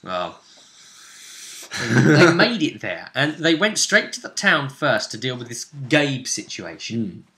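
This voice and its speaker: A man telling the story of a tabletop role-playing session as a host, in a casual, halting way, acting out bits of dialogue as he goes. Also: mid-range voice, very animated, sharp tone.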